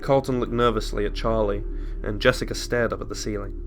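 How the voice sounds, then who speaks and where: A man's voice: fairly low pitch, fairly even intonation, a little fast, soft background music. A man narrating a scripted story as a dramatic audiobook reading.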